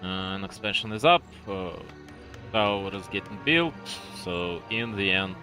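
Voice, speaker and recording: Hesitant low-voiced man commentating over music. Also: unhurried. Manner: hesitant, self-correcting, muttering asides, repeats words. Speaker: streamer and match commentator. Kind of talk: live esports commentary, rambling casual talk.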